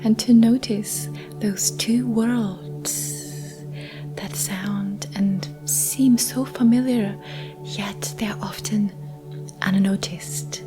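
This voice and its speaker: A woman leads a guided sleep meditation in a gentle, breathy, half-whispered voice. She speaks very slowly, leaving long pauses between soothing phrases. Immersive ambient music plays clearly behind her. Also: medium pitch.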